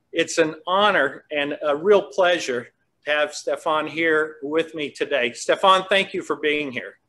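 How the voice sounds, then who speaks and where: A man's voice: on the high side, bright, forceful tone. Polished older male event host. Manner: polished, formal announcer delivery. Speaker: host, association president. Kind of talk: scripted welcome and interview questions. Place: open-plan office, indoors. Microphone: video call.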